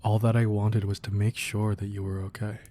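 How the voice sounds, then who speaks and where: A man playing a devoted, protective crime boss boyfriend in a romantic ASMR roleplay, speaking softly and earnestly with hesitant pauses. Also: on the low side, level tone, slight background noise, close to an everyday voice. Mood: tender, reflective. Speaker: audio roleplay performer. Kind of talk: romantic ASMR roleplay, intimate scripted monologue.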